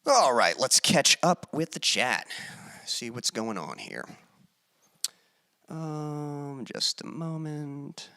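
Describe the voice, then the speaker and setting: A man hosting his own music live stream, chatting casually with viewers and reading their comments aloud, with many pauses and fillers. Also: fairly high pitch, no echo, studio quality. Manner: relaxed, halting, many fillers, reads chat aloud.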